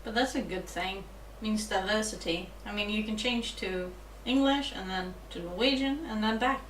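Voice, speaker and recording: A woman's voice: medium pitch, normal speed. A woman interviewer asking casual questions, giggling often and encouraging her guest. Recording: distant, roomy mic with faint noise.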